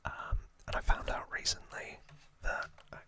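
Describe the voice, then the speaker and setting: A man whispering as an ASMR host, telling trivia in a hushed, halting storytelling manner. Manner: hushed, slow, halting delivery.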